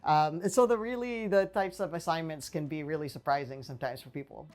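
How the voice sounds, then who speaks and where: A woman's voice: low voice, brisk, darkish tone, faint music behind. A woman in her forties from the Philippines, a political science professor answering interview questions in a small office on a lapel mic, thoughtful and full of fillers and restarts. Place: small office with bookshelves, indoors.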